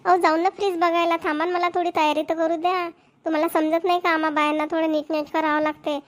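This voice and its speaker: A woman's voice: very high-pitched, little pitch movement, fast. A woman voicing a chatty, insistent young wife in a Marathi cartoon, with a Maharashtrian accent. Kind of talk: cartoon voice acting.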